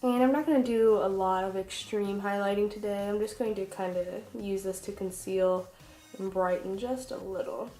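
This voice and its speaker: A woman's voice: medium pitch, fairly even intonation, normal speed, warm tone, soft background music. A young woman with a congested, nasal voice from a cold, chatting casually and self-deprecatingly through a makeup tutorial. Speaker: beauty vlogger, makeup artist. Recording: echoey, roomy sound.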